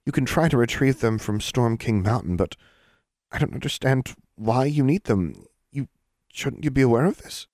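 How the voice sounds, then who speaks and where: A man's voice: mid-range voice, animated, moderate pace, darkish tone, dry recording, clean studio sound, pitched above the performer's own voice, lightly acted. A man voicing a nervous, dutiful middle-aged witch who works as an office clerk, hesitant and deferential, in an audiobook. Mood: uneasy, puzzled. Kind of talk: audiobook character voice.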